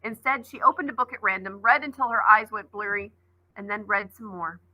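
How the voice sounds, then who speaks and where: A woman's voice: medium pitch, fairly quick, sharp tone, no echo, poor audio. A woman teacher reading a novel aloud expressively, adding brief asides.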